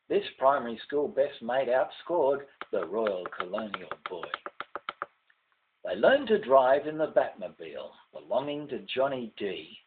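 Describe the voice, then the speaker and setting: An older man with an Australian accent recites a rhyming ballad at an unhurried, measured pace. His pitch rises and falls widely in a declamatory sing-song that drops at the close of lines. The sound is narrow and tinny, like a telephone. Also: husky. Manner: declamatory, sing-song, falling at line ends. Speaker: poet reading own poem. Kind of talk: poetry recitation, rhymed ballad with refrain.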